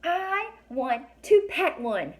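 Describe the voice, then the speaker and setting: A woman voicing an eager, cheerful young girl from a storybook in an earnest, bright way. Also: high voice, sing-song, slow, voice pushed up, heavily acted. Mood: excited.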